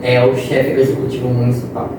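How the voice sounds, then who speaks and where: A man's voice: medium pitch, monotone, fast. Young male interview guest, explains with examples; roomy echo, mic at a distance. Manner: explains with examples and quoted phrases. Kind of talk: casual interview talk. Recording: echoey room, distant mic, noisy.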